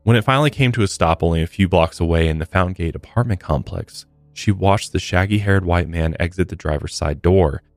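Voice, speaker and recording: Male American podcast host, deep register, scripted crime storytelling with frank casual asides, tight dry studio sound. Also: brisk, few pauses, no room sound. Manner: blunt, profane commentary. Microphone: close studio podcast microphone. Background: faint music.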